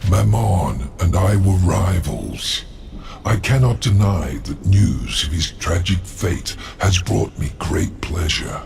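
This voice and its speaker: Game villain: sly demon lord, very low and gravelly, formal, measured, calculating menace. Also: male voice, animated, darkish tone, slight background noise, full character voice. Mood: sly, gloating. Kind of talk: dramatic video game cutscene acting.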